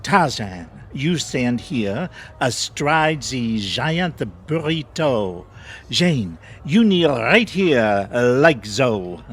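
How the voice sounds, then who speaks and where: An actor playing a pompous, exasperated director with a French accent in an audio comedy. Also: male voice, mid-range voice, sing-song, very slow, husky, full character voice. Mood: bossy, grand. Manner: pompous, theatrical. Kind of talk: audio theatre comedy acting.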